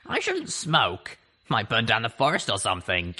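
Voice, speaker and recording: A man voicing a gruff, sardonic female griffin mercenary who chain-smokes, with a drawl. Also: mid-range voice, huge pitch swings, normal speed, bright, forceful tone, full character voice. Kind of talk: audiobook character voice.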